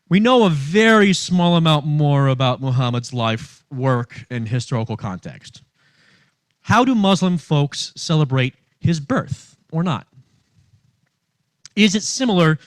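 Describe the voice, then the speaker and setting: A man giving a sermon as a minister, reading from notes in measured phrases with emphasis on key words. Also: on the high side, dry recording. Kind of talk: sermon read from notes, with long quotations.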